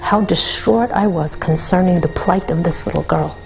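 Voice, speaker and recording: A woman's voice: lowish voice, normal speed, creaky, a little mellow, faint music behind, voice pushed down, low-quality recording. A woman voicing a humble, simple butcher, telling his tale earnestly. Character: humble simple male butcher, older man.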